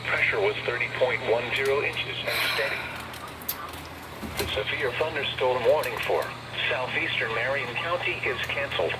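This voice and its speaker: A man's voice: mid-range voice, moderate pace. A synthetic male announcer reading a scripted weather bulletin in a formal, impersonal automated way over a radio broadcast. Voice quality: synthetic text-to-speech voice. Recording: noisy, echoey radio receiver picked up from a distance.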